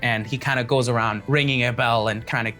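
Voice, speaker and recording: A man's voice: mid-range voice, fairly even intonation, fairly quick, sharp tone. Man narrating as game master, music behind. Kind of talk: narrating a tabletop fantasy game.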